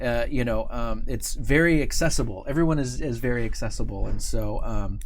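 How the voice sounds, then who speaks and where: A man with a mid-pitched voice hosts a casual livestream interview. He is enthusiastic and rambles, circling back over his own phrases. There is some noticeable noise behind him. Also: brisk. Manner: enthusiastic, rambling, repeats himself. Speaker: host of a company livestream show. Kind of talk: casual unscripted chat and interviewing. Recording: clearly audible background noise.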